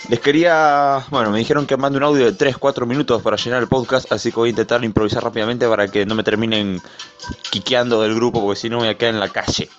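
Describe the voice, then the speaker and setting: A man's voice: mid-range voice, fairly even intonation, fast talker. A man from Argentina with an Argentine Spanish accent, improvising a casual, self-deprecating voice message. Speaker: podcast contributor. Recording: muffled lo-fi voice message. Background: background music.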